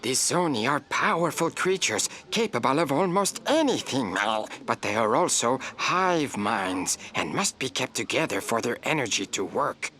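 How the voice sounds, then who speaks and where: A man's voice: medium pitch, sing-song, moderate pace, hardly any pauses, pushed and bright. A man playing a grave, secretive alien village elder in a video game, commanding and dramatic. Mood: secretive, solemn. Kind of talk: video game character acting.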